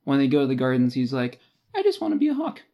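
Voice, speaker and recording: Casual man podcaster with mid-pitched voice. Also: wildly swooping pitch, fast talker, creaky, lo-fi sound. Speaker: podcast co-host. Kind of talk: casual book discussion.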